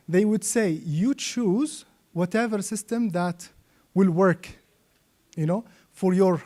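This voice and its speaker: High-pitched mellow man teaching a seminar. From Yemen. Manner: checks understanding with frequent "okay?" and "right?". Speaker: trainer and Islamic finance expert.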